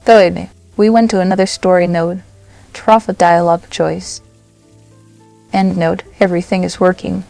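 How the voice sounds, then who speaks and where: A woman presents a software tutorial in short, simple steps. Her voice sits on the low side with a noticeable creak. It is recorded dry and close, over soft background music. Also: level tone, unhurried, dry recording. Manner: short, simple instructional phrases. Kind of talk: step-by-step software tutorial narration.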